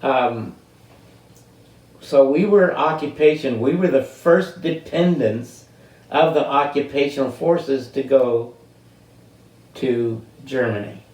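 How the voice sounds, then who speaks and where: An elderly man in his eighties from the United States telling personal stories in an unscripted, halting, deliberate way, with long pauses and drawn-out words. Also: medium pitch, darkish tone. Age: 80s. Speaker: interviewee telling life stories. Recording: roomy, distant microphone.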